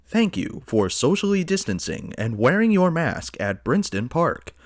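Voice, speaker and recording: A man's voice: on the high side, sing-song, unhurried, dry recording. A man voicing a pushy automated park announcer in an audio drama, with a scripted, salesy delivery. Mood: cheery, then indifferent. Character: robotic public announcer, pushy park machine.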